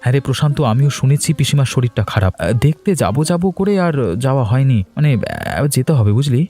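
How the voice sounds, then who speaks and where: A man's voice: mid-range voice, expressive, fast, mellow, faint music behind, natural voice. A man voicing a hard-up, dutiful, henpecked husband in a moral cartoon. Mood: friendly. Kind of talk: Bengali moral cartoon dubbing.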